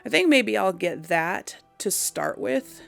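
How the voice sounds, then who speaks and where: A woman's voice: mid-range voice, big pitch swings, slow, vocal fry, faint music behind. A woman giving casual video game commentary, thinking aloud in a hesitant, musing way. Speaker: video game let's player.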